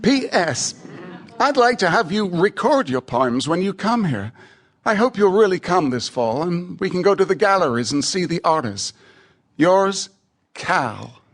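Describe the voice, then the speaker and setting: High-voiced, animated, witty male poet. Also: a little slow, heavily acted. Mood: wry, admiring. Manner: chatty, wry, literary. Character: witty, admiring male American poet writing to a friend. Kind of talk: radio theatre, reading letters aloud.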